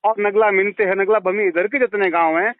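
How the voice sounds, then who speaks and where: High-voiced pleading Hindi man on tinny phone. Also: fairly even intonation, a little fast. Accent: western Uttar Pradesh. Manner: pleading, insistent, deferential, repeats himself. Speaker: villager on a phone call to his MP. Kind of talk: heated phone conversation. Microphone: phone line. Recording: tinny narrowband phone call recording.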